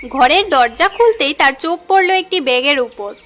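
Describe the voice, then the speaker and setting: High-voiced woman narrating a cartoon story. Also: animated, normal speed, a bit bright, soft background music.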